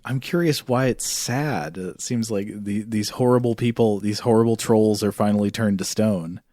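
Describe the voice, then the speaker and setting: A man's voice: fairly low pitch, moderate pace, mellow, no room sound. A male podcast co-host talking in a conversational way, close to the microphone. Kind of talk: casual chat, scripted ad reads and listener mail.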